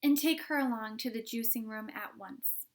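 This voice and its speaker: A woman's voice: on the high side, moderate pace, natural voice. A woman voicing an eccentric male candy maker who talks in an airy, unbothered way. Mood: breezy.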